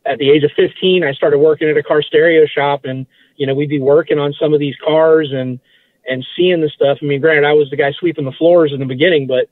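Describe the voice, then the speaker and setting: Guy from massachusetts calling in, kinda hesitant, restarts a lot, tinny phone sound, a bit high. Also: steady tone, a little fast, no echo. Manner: hesitant, lots of fillers and restarts. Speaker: interview guest. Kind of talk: casual interview storytelling.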